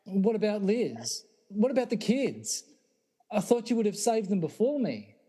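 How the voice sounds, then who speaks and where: A man's voice: sits high, very animated, unhurried, mellow, slightly rough, exaggerated voice. A man playing a bewildered, sceptical teacher in an amateur comedy radio play, questioning everything with incredulity. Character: bewildered, sceptical science teacher pulled out of trance.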